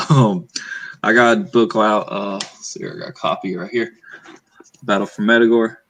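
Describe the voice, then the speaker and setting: A man from Arkansas with a mild northeast Arkansas Southern accent, hosting a relaxed livestream chat and rambling through plugs with fillers. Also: fairly low pitch, moderate pace, a little hiss or hum. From Arkansas, USA. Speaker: author and channel host.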